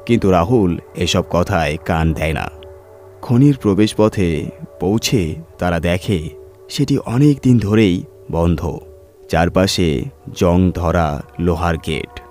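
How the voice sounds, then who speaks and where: A male storyteller with a fairly low voice narrates a scripted horror tale. Music plays underneath his reading. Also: animated, slow, long pauses, a little mellow.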